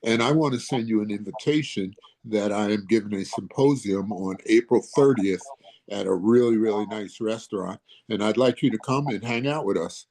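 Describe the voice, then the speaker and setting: A man's voice: fairly low pitch, moderate pace, vocal fry, darkish tone. A man chatting casually as a guest surgeon on an online panel, friendly and direct. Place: online webinar call.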